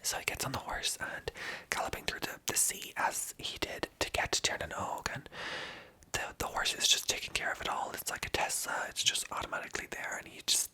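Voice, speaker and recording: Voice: a little fast, sharp tone, poor audio. A storyteller retelling an old legend in a casual, chatty whisper.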